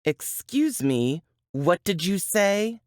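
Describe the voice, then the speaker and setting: A woman's voice: fairly low pitch, sing-song, slow, no room sound, clean studio sound. A woman voicing a small, fierce, defensive young woman in an audiobook, tense and clipped, holding back anger. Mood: angry, defensive. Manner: tense, clipped, through gritted teeth. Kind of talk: audiobook character acting.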